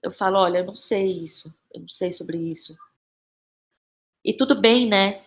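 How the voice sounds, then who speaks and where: A Brazilian woman in her thirties speaking as a guest in a conversational podcast interview, thinking aloud haltingly and repeating phrases. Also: medium pitch. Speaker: interview guest, playwright and actress.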